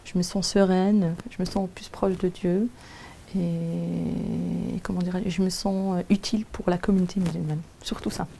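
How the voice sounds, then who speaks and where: A Quran teacher who is a native Arabic speaker gives a halting personal testimony, often pausing to find her words. Her voice is low and gravelly, mellow in tone, with a flat, even delivery. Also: slight background noise. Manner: hesitant, searching for words with many pauses.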